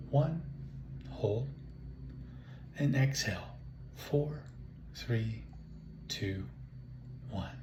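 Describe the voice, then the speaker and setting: A man leading a guided relaxation meditation, speaking in calm, soothing, measured phrases with long pauses. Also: mid-range voice. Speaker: guided imagery and relaxation instructor. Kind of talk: guided meditation, slow spoken instructions. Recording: roomy echo, faint background noise.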